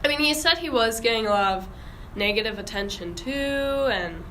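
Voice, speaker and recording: A woman's voice: fairly high pitch, expressive, normal speed, fairly bright tone, slight background noise. A young woman hosting a casual podcast discussion in an informal, hesitant way. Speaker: podcast host.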